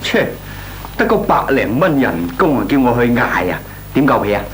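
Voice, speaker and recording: Man playing a cocky young layabout, lively swooping voice. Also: mid-range voice, lightly acted. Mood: grumbling. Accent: Hong Kong Cantonese. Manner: scoffing, flippant, drawn-out theatrical delivery. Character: cocky, slangy young layabout nephew, lazy and argumentative. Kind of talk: Cantonese radio comedy drama acting. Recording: noisy old radio recording with hum.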